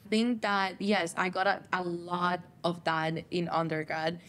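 A woman's voice: fairly low pitch, level tone, unhurried, fairly bright tone. A young woman from El Salvador, a guest on a studio talk show, chatting in an upbeat way with lots of "like" fillers. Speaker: guest, chemical engineer and content creator. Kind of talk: casual interview chat. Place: TV studio set, seated on couch.

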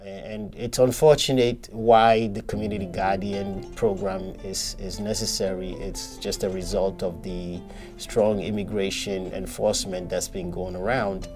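A man from Ghana, interviewed, explaining a community program in a measured way. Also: deep voice, little pitch movement, darkish tone, soft background music. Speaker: community member. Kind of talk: interview testimony.

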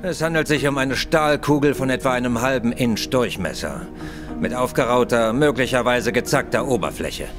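A man's voice: medium pitch, normal speed, over music, lightly acted. An actor playing a self-assured male police inspector in a German audio drama, officious, curt and grudging. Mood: grudging, matter-of-fact. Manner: officious, brusque.

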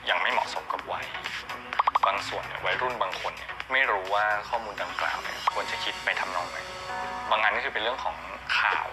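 Deep-voiced male expert explaining. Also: fast talker. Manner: steady, explanatory, with frequent spoken fillers. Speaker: expert guest. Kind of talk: explanatory interview answers. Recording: loud music under the voice.

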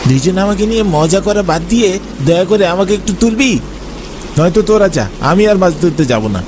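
A man's voice: sits high, lively intonation, moderate pace, background noise. A man voicing an uneasy, sulky young villager in a cartoon, pleading with his friends. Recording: music and rain behind.

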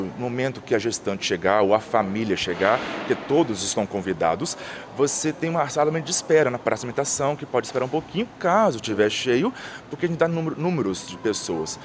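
Event coordinator, thirties man, mid-pitched, outdoor interview with faint traffic behind. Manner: fluent, lists items, frequent restarts. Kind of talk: on-camera interview. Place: outdoors by a painted mural wall. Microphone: handheld reporter mic.

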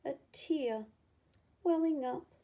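A woman reading a picture book aloud to children, with expressive, dramatic emphasis and asides to the listener. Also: high-pitched, slow.

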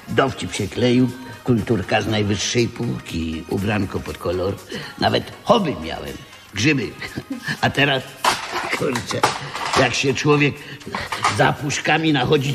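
An actor playing a down-and-out, streetwise scrounger in a radio drama, talking in rambling, halting slang with a gravelly voice. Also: male voice, medium pitch, fairly bright tone, exaggerated voice. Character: down-and-out streetwise Polish scrounger, tinkerer, petty shoplifter.

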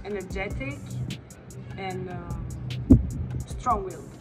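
A woman's voice: medium pitch, slow pace. A young woman from Catalonia, Spain, answering interview questions in a chatty way, often saying "like". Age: young adult. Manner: animated, uses "like" often. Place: outdoor courtyard. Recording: loud music underneath.

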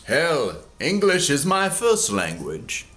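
A man's voice: a little high, wildly swooping pitch, slow pace. A man in his forties, from Kansas, reading his own memoir aloud in a theatrical, sardonic way, close to a webcam in a small room at home. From Kansas, USA. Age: 40s. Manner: theatrical, sardonic, bursts into loud emphasis.

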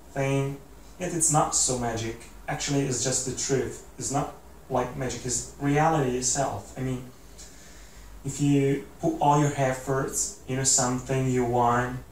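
A man's voice: medium pitch, darkish tone. A man in his twenties with an Italian accent, talking earnestly to the camera in a casual, rambling way while seated indoors. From Italy. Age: 20s. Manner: earnest, rambling with many "like"s and restarts. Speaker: vlogger. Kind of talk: casual motivational talk to camera. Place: indoor room, seated in armchair. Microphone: camera mic from a few feet away. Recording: roomy echo, distant mic, faint hiss.

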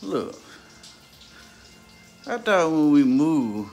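A man's voice: on the high side, very animated, drawn-out words, a little mellow, soft background music. Laid-back man hosting a family cooking vlog. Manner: laid-back, joking, addresses viewers as family. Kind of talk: casual family vlog chat.

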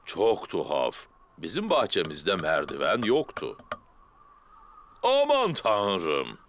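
A man's voice: deep voice, huge pitch swings, slow, a little hiss or hum, heavily acted. A man voicing a jolly, confident father pig in a dubbed children's cartoon, with a hearty, self-assured manner. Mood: good-natured. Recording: loud music mixed underneath.

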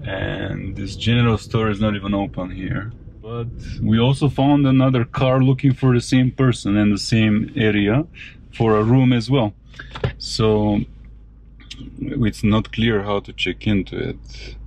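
A man's voice: lowish voice, steady tone, a little slow, vocal fry, darkish tone. A man talking casually as a travel vlogger, thinking aloud in a conversational way. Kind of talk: casual travel vlog commentary to camera. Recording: noisy outdoor and car background.

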